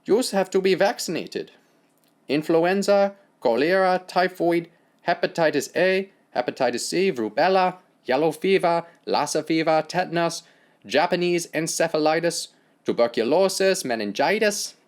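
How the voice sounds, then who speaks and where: A man's voice: fairly high pitch, lively intonation, normal speed, voice pushed up, close to an everyday voice. Man doing a scornful German army doctor. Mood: brisk. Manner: blunt, scornful, barking. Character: stern sixty-year-old German male army doctor.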